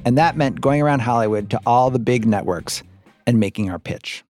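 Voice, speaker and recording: A man's voice: mid-range voice, expressive, brisk, darkish tone. Conversational American male podcast narrator, light music behind. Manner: conversational storytelling. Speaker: podcast host and interviewer. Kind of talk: scripted narration and casual interviewing.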